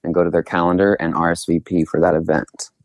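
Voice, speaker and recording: A man's voice: deep voice, level tone, quick pace, dry recording, clean studio sound. A man in his twenties from Oklahoma with an American accent, answering casually as a guest in a radio interview. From Oklahoma, United States. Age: 20s. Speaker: interview guest, singer-songwriter.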